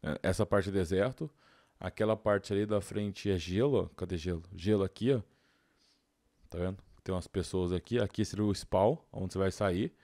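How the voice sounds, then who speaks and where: Deep-voiced young Brazilian man, casual gameplay commentary. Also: normal speed, darkish tone, dry recording, clean studio sound. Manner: informal, slangy, thinking aloud. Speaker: gaming video host.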